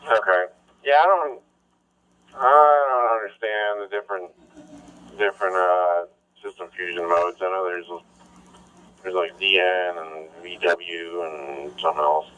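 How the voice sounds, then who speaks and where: Low-voiced man over dull ham radio. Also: fairly even intonation, a little slow, pauses often, a bit bright, slight background noise. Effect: radio transmission. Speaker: amateur radio operator. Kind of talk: casual two-way radio chat.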